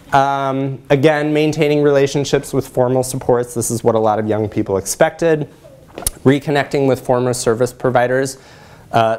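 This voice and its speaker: A man in his thirties with an American accent presents research to an audience, explaining in a measured way with pauses. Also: mid-range voice, a little mellow. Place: lecture room, indoors.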